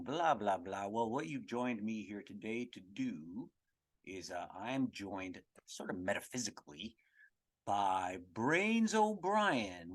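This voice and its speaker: A man hosting a podcast in a casual, comedic monologue, rambling, repeating himself for emphasis and swearing freely as he answers questions. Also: medium pitch. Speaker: podcast host.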